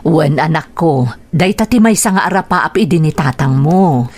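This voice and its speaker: A caring mother in a radio drama. She speaks in a slightly low voice, with a gentle, motherly and questioning manner. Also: lively intonation, normal speed. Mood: caring, supportive. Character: caring, concerned mother of a teenage son. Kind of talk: Ilocano radio drama acting.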